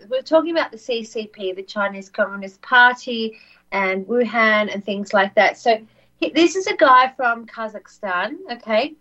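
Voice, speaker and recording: A woman co-hosting a podcast, English as her second language, telling a story in a soft voice with many ums and repeated words. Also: fairly high pitch. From not said; lives in Australia. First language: not English. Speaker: co-host. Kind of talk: casual podcast chat, storytelling. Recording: dull lo-fi audio.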